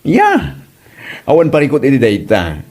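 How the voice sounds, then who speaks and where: A man's voice: wildly swooping pitch, normal speed, a little mellow. A man playing a teasing fifty-ish dad. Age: 50s. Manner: playful teasing, big pitch swings. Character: teasing doting father, about fifty. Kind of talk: comedic audio drama acting.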